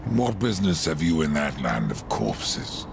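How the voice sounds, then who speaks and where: An actor playing a grim horseman warrior in a fantasy game, curt and sardonic, with a deep gravelly voice. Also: sing-song, unhurried, very noisy, exaggerated voice. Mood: curt, dry. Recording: loud music under the voice.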